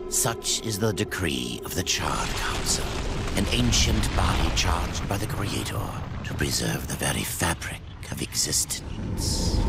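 An actor playing an ancient male storyteller of the apocalypse, narrating a game cutscene in a slow, grave way that drops to hushed whispers. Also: exaggerated voice. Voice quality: deep gravelly voice. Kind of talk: epic cinematic narration. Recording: game cutscene over loud orchestral music.

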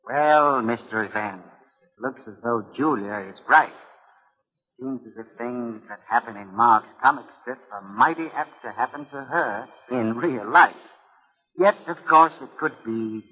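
A storyteller in a vintage radio mystery. His husky, mid-pitched voice comes through a muffled old recording. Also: a little slow. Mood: musing. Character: storyteller narrating a murder mystery. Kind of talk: 1940s radio acting, dramatic narration.

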